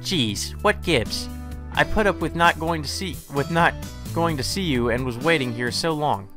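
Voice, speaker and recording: A man's voice: animated, moderate pace, over music, close to an everyday voice. A man voicing a cheerful, playful young vampire woman in a visual novel reading, in a raised, teasing voice. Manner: teasing, bubbly, raised pitch.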